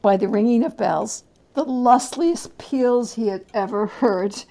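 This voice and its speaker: A woman storyteller giving a theatrical dramatic reading of a classic tale, savoring words with long pauses. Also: a little high, dark tone, low-quality recording.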